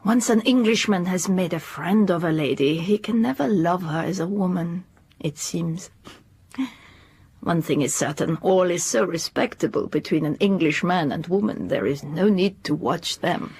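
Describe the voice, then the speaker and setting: An actress playing a worldly, cynical madame in a period audio drama, with a husky voice and measured, sardonic delivery. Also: female voice, lowish voice, a little mellow. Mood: cool, sardonic. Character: worldly, cynical foreign madame, coolly watchful. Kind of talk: period radio drama acting.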